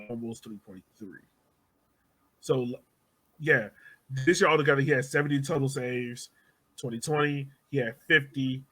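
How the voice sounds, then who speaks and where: A man's voice: mid-range voice, bright, forceful tone, rough recording. Man hosting a casual sports podcast. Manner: conversational, puts questions to co-hosts. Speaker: podcast host.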